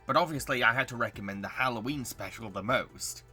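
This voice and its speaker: A man's voice: medium pitch, brisk, sharp tone, faint music behind. A man reading scripted video essay narration as the host.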